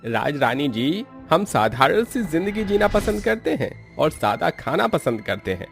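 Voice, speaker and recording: A man's voice: a little high, very animated, a little slow, creaky, soft background music, exaggerated voice. A man playing a dignified cartoon priest. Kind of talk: Hindi moral-story cartoon dialogue.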